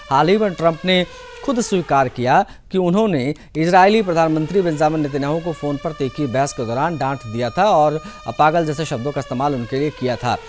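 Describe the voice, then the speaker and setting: A man presenting television news with commentary, in the role of anchor. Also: fairly high pitch.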